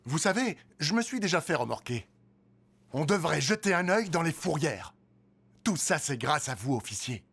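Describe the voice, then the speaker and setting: An actor playing an excitable, flattering colleague in a cartoon dub, gushing and exclamatory. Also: male voice, fairly high pitch, moderate pace, slightly rough, full character voice. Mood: admiring.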